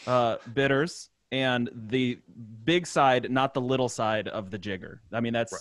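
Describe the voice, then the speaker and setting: A man's voice: medium pitch, measured pace, fairly bright tone, no room sound. A man who voice acts for a podcast, chatting casually and joking. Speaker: podcast voice actor.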